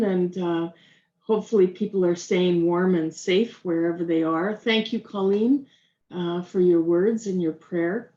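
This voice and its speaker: A woman, a chief medical officer, opening a formal address to a call in a warm, thankful manner. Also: mid-range voice. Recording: roomy, dull audio.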